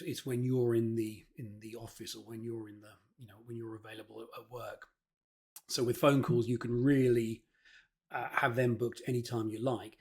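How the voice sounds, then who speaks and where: Man giving an informal, halting walkthrough. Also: mid-range voice, a little mellow. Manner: hesitant, often repeating words mid-phrase. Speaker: presenter, marketing consultant. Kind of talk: informal instructional presentation.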